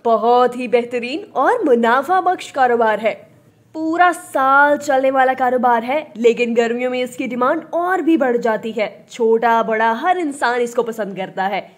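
High-voiced woman narrating. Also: measured pace. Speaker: business video narrator. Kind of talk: scripted voice-over narration.

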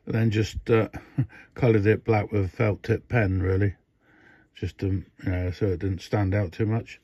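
A man's voice: lowish voice, little pitch movement, normal speed, warm tone, breathy edge, dry recording. An older man casually demonstrating a radio modification at his workbench, rambling with many fillers and restarts. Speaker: radio hobbyist showing a modification. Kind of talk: casual hands-on demo. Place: indoor workbench. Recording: dull, dry lo-fi phone audio.

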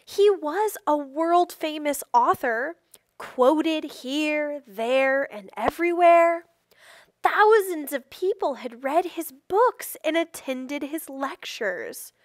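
High-voiced woman reading a storybook aloud. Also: no echo, studio quality. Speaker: storybook reader. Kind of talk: expressive read-aloud of a children's picture book.

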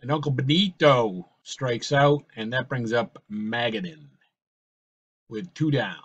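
A man calling a simulated baseball game in casual play-by-play, his voice slightly raspy from a cold, rambling and correcting himself as he goes. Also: medium pitch, takes it slowly, a bit bright, dull audio. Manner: rambling, with fillers and self-corrections. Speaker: host playing a baseball sim.

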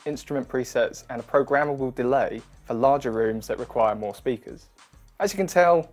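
A man in his thirties presenting a scripted product demonstration in a clear, instructional manner. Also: medium pitch, a little mellow. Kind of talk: scripted product review narration. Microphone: wireless lavalier or close studio mic. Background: background music.

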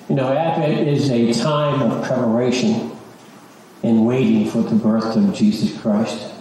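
A middle-aged or older man addressing a church congregation as a lay leader, halting and repeating words. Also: mid-range voice, a little mellow. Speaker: church lay leader and search committee chair. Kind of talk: church announcement and short devotional to a congregation. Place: large church sanctuary, indoors. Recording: echoey church, distant microphone, background noise.

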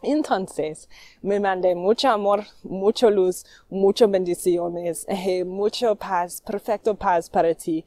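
Woman, low mellow halting vlogger, dry. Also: steady tone, no echo. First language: English. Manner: halting, many fillers, warm well-wishing. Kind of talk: casual vlog talk.

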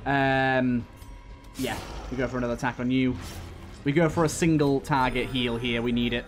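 A man's voice: mid-range voice, fairly even intonation, normal speed. A man giving casual video game commentary, thinking aloud and often repeating himself. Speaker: let's play host. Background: game music.

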